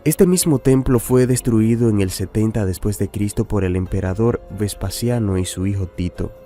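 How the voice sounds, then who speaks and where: A man reading a scripted religious news report in a measured, even way. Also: lowish voice, faint music behind. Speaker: narrator. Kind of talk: scripted narration.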